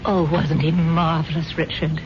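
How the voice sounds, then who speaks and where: An actress playing a dreamy, gullible wealthy wife devoted to spiritual fads, gushing and earnest in a 1970s radio drama. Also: female voice, low voice, little pitch movement, moderate pace, background noise, lightly acted. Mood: rapturous, earnest. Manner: gushing, earnest, breezily airy. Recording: dull vintage radio recording with music.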